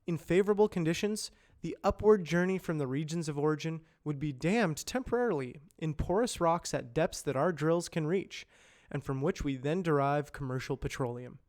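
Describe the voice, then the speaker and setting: A man with a somewhat high voice narrates scripted explainer copy. He speaks in a measured way and enunciates each term clearly, in the manner of an educational or corporate voice-over. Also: a little mellow. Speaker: amateur voice actor practicing narration.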